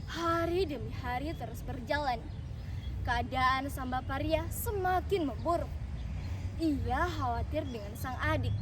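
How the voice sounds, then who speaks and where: A woman from Indonesia telling a folktale in a theatrical, declamatory way with deliberate pauses. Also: high-pitched. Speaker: storyteller. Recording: distant microphone, noisy background.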